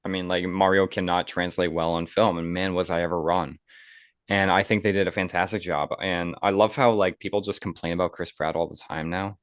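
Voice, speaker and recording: Very low-voiced man chatting about films. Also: steady tone, a little fast, smooth, no room sound, studio quality. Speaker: podcast host. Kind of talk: casual film discussion.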